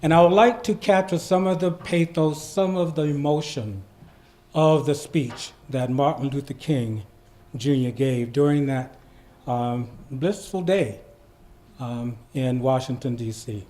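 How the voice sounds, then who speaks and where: A man giving informal, personal remarks to an audience in a reflective, halting way before a reading. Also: medium pitch, mellow. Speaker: speaker introducing a dramatic reading at a community event.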